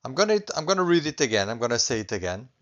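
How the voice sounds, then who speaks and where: Portuguese-accented man, language teacher, patient, reassuring, middle-aged, mid-pitched voice, slightly muffled recording. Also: very animated, rapid-fire, a bit bright. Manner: patient, reassuring, repeats points, often adds okay. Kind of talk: online language lesson.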